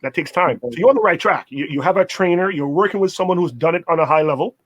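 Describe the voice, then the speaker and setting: A man's voice: fairly high pitch, quick pace. A middle-aged man co-hosting, giving conversational advice and repeating phrases for emphasis. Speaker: co-host, basketball mentor.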